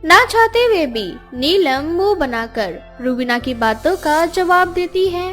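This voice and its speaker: High-pitched woman storyteller. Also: animated, a little slow, fairly bright tone, faint music behind. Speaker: storyteller narrator. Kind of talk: scripted story narration for a Hindi moral tale.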